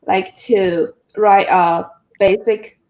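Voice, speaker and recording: Slow, hesitant woman. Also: medium pitch, steady tone, a little mellow, reverberant, poor audio. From Hong Kong. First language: Chinese. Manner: hesitant, searching for words, many "like"s. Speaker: guest translator.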